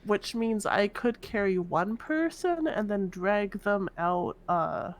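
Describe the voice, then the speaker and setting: A woman's voice: medium pitch, animated, slow. A woman playing a scheming prison inmate in an online roleplay game, casually thinking her plans out aloud. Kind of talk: improvised in-character roleplay chat.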